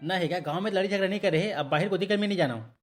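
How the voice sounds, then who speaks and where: A woman plays a folksy cartoon village headman with a Chhattisgarhi accent. The voice sits low and moves very fast. He is gruff but fatherly, soothing others while easily flustered himself. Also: faint music behind, lightly acted. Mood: reassuring. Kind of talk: Chhattisgarhi comedy cartoon voice acting.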